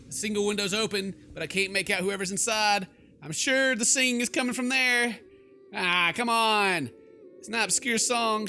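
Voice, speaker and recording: A male gaming host with a high, bright voice reads game text and riffs on it. His delivery is theatrical and sarcastic, leaping around in pitch as he exclaims. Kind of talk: let's play commentary mixed with reading game text aloud.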